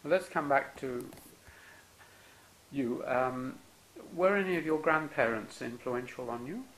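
A male interviewer asking questions politely and hesitantly, with many ums and soft acknowledgements. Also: mid-range voice, a little slow, a little hiss or hum, rough recording. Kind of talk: oral history interview.

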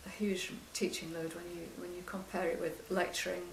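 A quiet, soft-spoken older woman with a low voice and a gravelly edge, pausing often to find her words. She recalls her teaching career for an interviewer. The recording sounds roomy, with a faint hiss underneath. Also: flat delivery. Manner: soft-spoken, hesitant, many ums and restarts. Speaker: computer science lecturer interviewed about her career. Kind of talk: oral history interview.

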